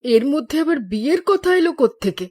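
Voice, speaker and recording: A woman's voice: high voice, lively intonation, quick pace, mellow. A woman playing a mild older mother in a Bengali cartoon, speaking in a placating, defensive way.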